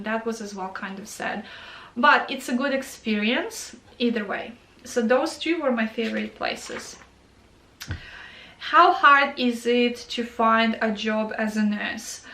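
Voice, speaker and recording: Brief: young woman, Ukrainian accent, English second language, casual nurse vlog, slightly high voice, echoey room. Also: a bit bright. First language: not English. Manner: conversational, many fillers and self-corrections. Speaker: nurse answering viewer questions.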